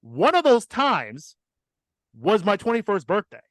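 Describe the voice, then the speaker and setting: A man's voice: high voice, huge pitch swings, a little slow, a bit bright, no echo. A man hosting a podcast, telling a personal story in a casual, profane and emphatic comic style.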